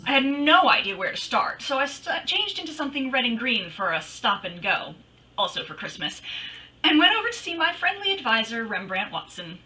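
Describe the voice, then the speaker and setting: A woman acts out a brassy lady private eye from a radio mystery. Her high voice swoops animatedly through wry, slangy wisecracks, picked up from some distance off the microphone. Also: big pitch swings, fairly quick, sharp tone. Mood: breezy, wry. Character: brassy, wisecracking female private detective, persuasive and breezy. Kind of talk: old-time radio detective acting and narration.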